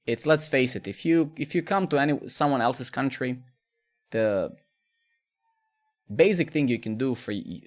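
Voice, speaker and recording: A man's voice: medium pitch, expressive, measured pace, long pauses. A man, chatting casually with viewers during a live coding stream, rambling and repeating words. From Serbia.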